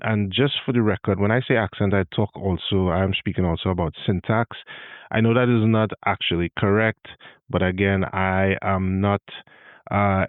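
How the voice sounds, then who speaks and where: A man's voice: deep voice, no room sound, phone-quality sound. A man from Trinidad and Tobago with a Trinidadian accent, hosting his own podcast in a solo talk with wry, self-deprecating asides. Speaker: podcast host.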